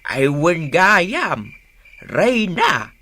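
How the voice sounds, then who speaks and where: A man's voice: a little high, big pitch swings, drawn-out words, bright, forceful tone, exaggerated voice. A man playing a nosy, insistent father-in-law in a radio drama, with an Ilocano Filipino accent. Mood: coaxing. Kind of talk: Philippine radio drama acting.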